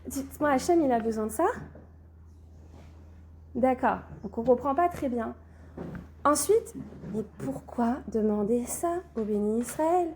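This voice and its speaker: High-voiced woman teaching a class, with a cold. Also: expressive, measured pace, long pauses, a little mellow, slight background noise. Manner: questions the class, then answers, often saying "d'accord?". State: has a cold. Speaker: religious teacher. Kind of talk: recorded Torah class.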